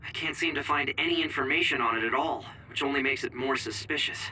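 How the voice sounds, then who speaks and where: An actor playing an earnest young mission support operator in an anime-style video game, focused and informative. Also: male voice, mid-range voice, fast, sharp tone, slight background noise, rough recording.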